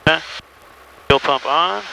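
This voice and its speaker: A man's voice: fairly high pitch, unhurried, vocal fry, low-quality recording. A man who is a student pilot, talking casually in the cockpit and making radio calls. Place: inside small plane cockpit in flight. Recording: loud aircraft engine noise. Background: loud engine drone.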